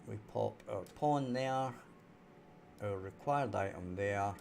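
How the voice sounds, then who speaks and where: A young man with a softened Scottish accent, thinking aloud as he works through a live game development stream. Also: slow, gravelly, a little hiss or hum. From Scotland. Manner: thinking aloud while working, low-key. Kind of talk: live coding stream talk.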